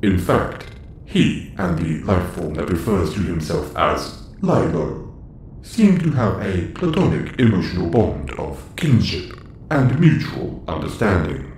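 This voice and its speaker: A deep-voiced android commander, acted by a man, formal and clinical in an audio roleplay. The delivery is slow yet swings widely in pitch, with a roomy echo around it. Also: very animated, darkish tone, full character voice. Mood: measured, formal. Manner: precise, formal, clinical phrasing. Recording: roomy echo, faint background noise.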